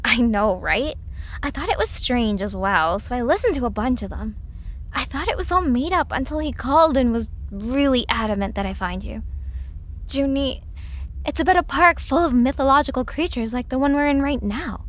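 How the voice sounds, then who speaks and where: A woman's voice: high voice, lively intonation, normal speed, a bit bright. A woman acting a skeptical, insistent young twin sister in an audio drama, speaking conversationally over some background ambience.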